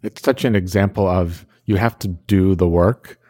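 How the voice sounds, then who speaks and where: A man hosting an interview, asking steady, encouraging questions. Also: low-pitched, normal speed. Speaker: podcast host.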